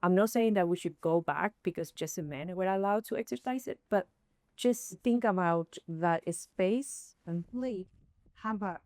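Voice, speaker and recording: A woman from Chile with a Chilean Spanish accent, leading a workshop session and often pausing to search for words. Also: fairly low pitch, a little mellow, dry recording, studio quality. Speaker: workshop presenter.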